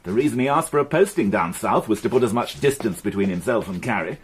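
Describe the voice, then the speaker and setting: An actor playing a wry, devoted police inspector in a radio drama, speaking with dry sarcasm. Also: male voice, fairly low pitch, animated, quick pace, husky. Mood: worried. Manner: dry, sardonic. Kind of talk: BBC radio drama acting.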